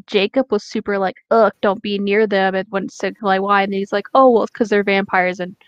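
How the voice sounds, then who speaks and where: A woman's voice: medium pitch, fairly even intonation, brisk, no echo, dull audio. A woman chatting casually and giving her opinions in very informal, slangy speech. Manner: informal, says "like" often.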